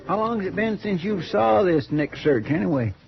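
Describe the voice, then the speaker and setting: An actor playing a folksy, deferential and inquisitive deputy sidekick with a rural American accent in an old-time radio western. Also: male voice, high-pitched, expressive, moderate pace, gravelly, a crowd in the background. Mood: curious. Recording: muffled old radio recording, background voices.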